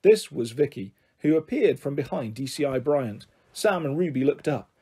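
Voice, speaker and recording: Male audiobook reader for children, animated and slightly rough-edged. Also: medium pitch, moderate pace. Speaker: storyteller reading a children's novel. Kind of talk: expressive storybook narration.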